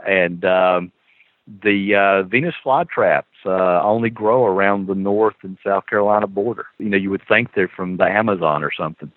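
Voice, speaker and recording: A man's voice: low-pitched, normal speed, dry recording. A Southern man chats casually as a podcast guest. He tells his stories animatedly and chuckles often. His voice comes through a thin, phone-like line with no room sound. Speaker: guest author. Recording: tinny phone-line audio.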